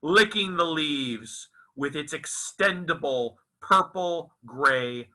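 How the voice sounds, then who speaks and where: Bright, forceful man reciting with dramatic swoops. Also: on the high side, expressive. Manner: dramatic, deliberate, emphatic with repeated phrases. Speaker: author reading own novel aloud. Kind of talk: theatrical literary recitation.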